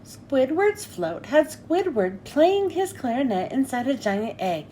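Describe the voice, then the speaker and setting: A woman reading a picture book aloud to children with an expressive, animated delivery. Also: high voice, slow pace, slight background noise.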